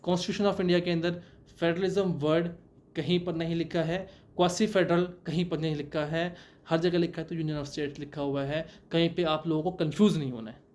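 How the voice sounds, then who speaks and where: High-voiced man giving a law lecture. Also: darkish tone. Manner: asks rhetorical questions and answers them; mixes English terms into Hindi. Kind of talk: explanatory lecture.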